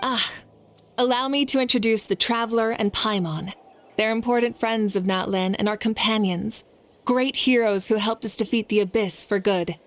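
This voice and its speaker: A woman voicing a courteous young leader and fire deity in a video game, speaking formally and sincerely in measured phrases. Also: a little high, faint music behind, close to an everyday voice. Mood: courteous, concerned.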